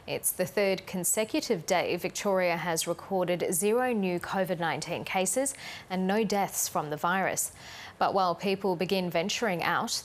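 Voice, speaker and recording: An Australian woman presenting the news, reading scripted introductions to stories. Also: lowish voice.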